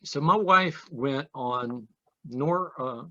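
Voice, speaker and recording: A man's voice: medium pitch, slow, sharp tone. Male host on a muffled video call. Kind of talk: casual interview hosting over video call.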